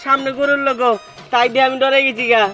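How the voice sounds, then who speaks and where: High-pitched boastful village youngster, acted by a woman. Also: flat delivery, fast talker, pushed and bright. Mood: amazed. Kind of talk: amateur comedy skit acting. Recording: distant mic under music.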